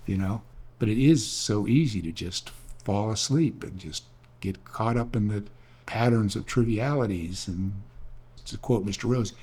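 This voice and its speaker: A man's voice: on the low side, measured pace, darkish tone, breathy edge. An older man, an author, telling rambling, earnest stories in a reflective talk, often restarting his sentences. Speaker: author and speaker.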